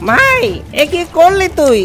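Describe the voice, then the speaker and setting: A woman's voice: extremely high pitch, big pitch swings, takes it slowly, fairly bright tone, faint music behind, full character voice. A woman voicing a meek, kindly young fox brother in a children's cartoon, earnest and eager to please. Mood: panicked pleading.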